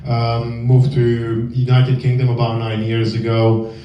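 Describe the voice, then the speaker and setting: A young man from northern Romania with a Romanian accent, performing a halting, filler-heavy deadpan stand-up set in a small comedy club. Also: medium pitch, recorded from a distance, slight background noise. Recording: echoey room, heard from afar, dull sound. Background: audience laughter.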